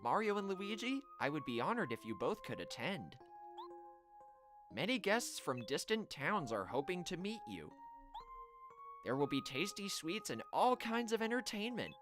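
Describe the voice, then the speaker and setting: A man's voice: sits high, sing-song, moderate pace, music in the background, voice pushed up. A man voicing a gracious, sweet young video game princess in a dainty, polite manner.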